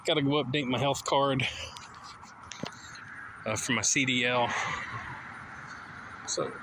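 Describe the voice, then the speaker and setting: Middle-aged man, relaxed walk-and-talk vlogger, unhurried delivery, phone mic outdoors with faint street noise. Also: mid-range voice. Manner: rambling, easygoing, halting with fillers. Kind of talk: casual walking vlog monologue. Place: outdoors walking down a suburban street. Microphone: phone held at arm's length.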